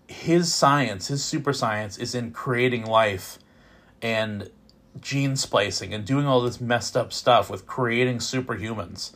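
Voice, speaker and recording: A man reviewing films in casual, unscripted talk, opinionated and informal, with a halting delivery that repeats words as he goes. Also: mid-range voice. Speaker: film reviewer.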